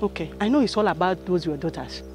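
A woman's voice: fairly low pitch, animated, fast, close to an everyday voice. A woman playing a brazen, quarrelsome debtor in a film drama, with a Nigerian accent and a taunting, exclamatory manner. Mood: angry, scornful. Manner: taunting, exclamatory, repeats questions. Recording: background music over film dialogue.

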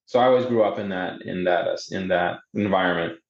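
A man's voice: low-pitched, fairly quick, vocal fry. A man in his thirties from Boston, a native Portuguese speaker, telling his story conversationally in a hesitant, word-repeating way over a video call. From Boston, USA, with teenage years in Brazil. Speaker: podcast guest. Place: indoor room on a video call. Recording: muffled, echoey video call.

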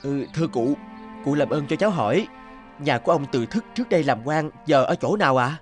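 A homesick young scholar from a cartoon folktale, acted by a man, speaks in a fairly high voice. He is earnest and courteous, often hesitant, with faint music behind him. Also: expressive, fairly quick, natural voice. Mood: bewildered. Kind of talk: animated folktale voice acting.

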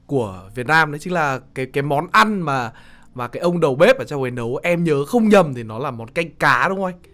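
A young man with a northern Vietnamese accent interviews conversationally as a podcast host, phrasing his questions haltingly. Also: mid-range voice. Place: small studio. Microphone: close studio microphone. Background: soft plucked guitar music.

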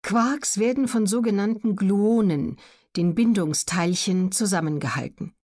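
A woman reads scripted educational narration for an audiobook in a measured, factual manner. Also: mid-range voice, darkish tone.

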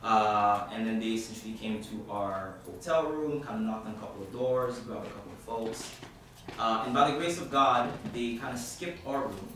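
A man from Haiti, a youth minister, praying and then telling a story to a group in a halting, informal way with many fillers. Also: fairly low pitch. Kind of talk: opening prayer and talk to a group. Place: hall at a university centre. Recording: echoey room from far off, background noise.

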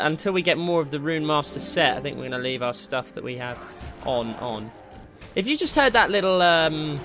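Excitable guy doing jokey gaming commentary, kinda high lively voice, game music behind him. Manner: excitable, jokey, mildly crude exclamations. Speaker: gaming video host. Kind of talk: casual let's play commentary. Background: video game music.

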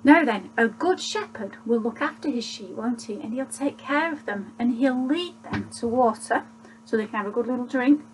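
A woman gives a gentle children's church talk, asking leading questions as she tells the story, recorded on a webcam in a small room at home. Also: fairly high pitch, normal speed, slight background noise, poor audio. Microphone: webcam at desk distance.

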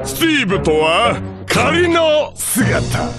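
A boastful, scheming cartoon superhero. His voice is very high and swoops widely, every phrase stretched out grandly for effect. Music plays loudly behind him. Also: very animated, heavily acted. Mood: gloating. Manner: theatrical, grandiose, drawn-out delivery. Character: pompous, scheming male superhero alien, hammy and boastful. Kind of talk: video game cutscene voice acting.

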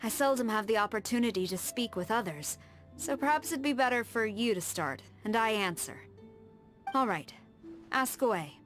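An actress playing a stern, proud tengu warrior woman in a video game, with composed, firm and measured formal speech. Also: fairly high pitch, expressive, fairly bright tone. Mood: reserved, polite. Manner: formal, measured, precise diction. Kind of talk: scripted video game character dialogue. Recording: studio voice over background music.

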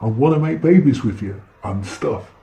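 Guy doing a pompous show-off alien for a comedy audio play, mellow, kinda gravelly, super pleased with himself. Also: medium pitch, lively intonation, moderate pace. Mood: mock romantic. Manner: pompous, smug, theatrical, easily sidetracked. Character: vain, boastful, deep-voiced alien relaying messages telepathically. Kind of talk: comic audio drama acting.

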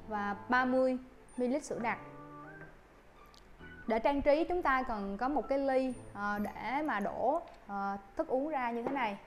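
Young woman barista, fairly high voice, courteous step-by-step tutorial, lapel mic, faint music under it. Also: a little slow. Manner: polite, addresses viewers with soft fillers. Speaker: barista instructor. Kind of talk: coffee-making tutorial. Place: coffee shop counter indoors.

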